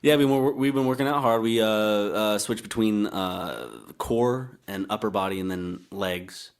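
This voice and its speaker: A man's voice: lowish voice, a little slow, clean tone. A young man co-hosting a podcast in casual comedic chat, teasing and cracking crude jokes. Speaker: podcast co-host.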